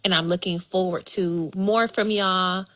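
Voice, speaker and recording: An American woman, a podcaster, giving a casual shout-out in a voice message. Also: fairly low pitch, steady tone, measured pace. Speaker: podcaster leaving a voice note.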